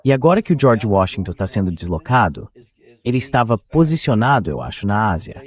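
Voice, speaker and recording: A man's voice: lowish voice, big pitch swings, brisk. A man with a Brazilian accent hosting opinionated news commentary in a blunt, sarcastic, colloquial way. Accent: Brazilian Portuguese. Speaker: talk show host and political commentator.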